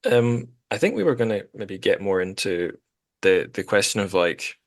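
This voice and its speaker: A man's voice: on the low side, lively intonation, moderate pace, no room sound. A man hosting a podcast, talking casually about books in a rambling, self-correcting way. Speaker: podcast host. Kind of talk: casual literary discussion.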